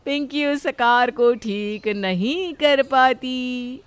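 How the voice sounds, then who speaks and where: A woman's voice: high voice, very slow, clean tone, no echo. A woman reading scripted narration of a family moral story as storyteller.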